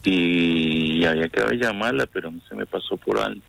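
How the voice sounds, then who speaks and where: A very deep, gravelly man answering a phone call. he sounds puzzled throughout, constantly asking the caller to repeat herself while trying to work out who she is. his replies are short and questioning. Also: moderate pace, a bit bright, dry recording. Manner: puzzled, often asks to repeat. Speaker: call recipient. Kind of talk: phone conversation.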